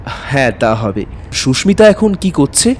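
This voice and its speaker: A man's voice: mid-range voice, big pitch swings, unhurried, slight background noise. An actor playing a courteous, hesitant maths tutor, telling his own eerie story in an audio drama. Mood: polite, hesitant. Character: anxious, courteous male maths tutor recounting an eerie night. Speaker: narrator and lead character. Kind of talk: dramatic first-person ghost-story narration.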